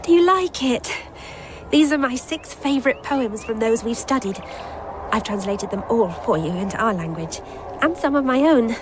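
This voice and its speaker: A woman's voice: fairly high pitch, animated, moderate pace, background noise, natural voice. An actress playing an earnest, defiant young woman in a radio drama, speaking with intimate passion. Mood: tender, urgent. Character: earnest, defiant young woman, secretly devoted poetry lover.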